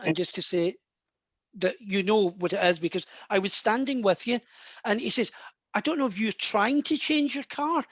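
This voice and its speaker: A man's voice: high-pitched, a bit bright, dry recording. A man working as a psychic medium in a live online session, talking in a chatty, rambling way full of restarts.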